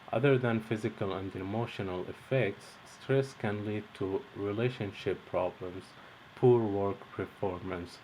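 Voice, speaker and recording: Young male instructor from Egypt, deep voice, measured scripted read with faint background noise. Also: a little mellow, low-quality recording. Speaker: course instructor. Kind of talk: read-out lesson introduction.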